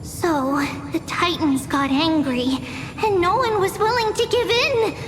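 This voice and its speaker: Very high-pitched little girl teacher. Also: a little slow. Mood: playful, storytelling. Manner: singsong, simple childlike wording. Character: childlike little girl teacher, chirpy and bossy. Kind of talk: storybook-like history lesson narration in a game. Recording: long hall reverb, music behind. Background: game music.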